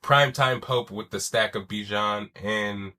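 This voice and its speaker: Bright-toned man with a stuffy chest cold. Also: lowish voice, fairly even intonation, unhurried. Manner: loose and conversational, swears, slangy asides. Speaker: podcast host. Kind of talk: casual solo sports talk.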